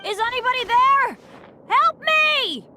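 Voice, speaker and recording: A woman playing an earnest, energetic teenage boy adventurer in a video game, calling out loudly. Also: very high-pitched, takes it slowly, heavy background noise, exaggerated voice. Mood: desperate. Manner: loud, calling out. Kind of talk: video game dialogue acting. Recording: loud music behind. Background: game music.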